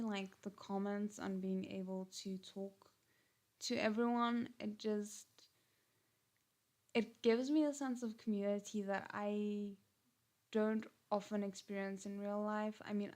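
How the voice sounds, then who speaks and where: A woman with a South African accent chatting casually on a livestream, rambling with frequent restarts and fillers. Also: medium pitch. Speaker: channel host.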